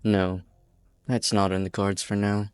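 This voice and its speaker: A man playing a weary, apologetic outlaw in an amateur game fan dub, speaking in a measured, resigned way. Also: fairly low pitch, flat delivery, clean tone, no room sound, clean studio sound, natural voice. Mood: grim, wry. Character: weary, apologetic outlaw hostage-taker, a former lawyer.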